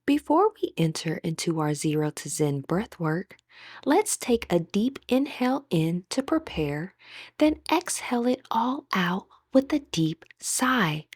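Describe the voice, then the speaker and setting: A woman with a breathy voice gently leading a guided meditation as an instructor, in measured, scripted phrases. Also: lowish voice, sing-song, no echo, studio quality.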